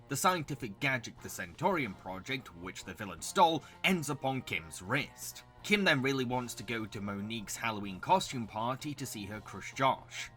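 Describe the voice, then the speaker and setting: A young man narrates a countdown-style review with enthusiasm. His voice is bright and forward, mid-pitched, over soft background music. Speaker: host and narrator of a cartoon recommendation video. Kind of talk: scripted review narration with casual asides.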